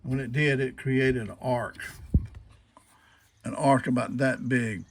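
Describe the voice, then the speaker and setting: A man's voice: mid-range voice, steady tone, slow pace, slight background noise. A man talking casually and unscripted through a hands-on demonstration, folksy and repetitive, posing questions and answering them himself. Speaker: hobbyist demonstrating old radio restoration.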